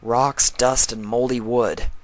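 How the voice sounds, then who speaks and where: A man's voice: medium pitch, flat delivery, slow pace, dry recording, pitched above the performer's own voice, natural voice. A man voicing a proud, short-tempered archaeologist mare from a story, exasperated and sarcastic as she mutters to herself.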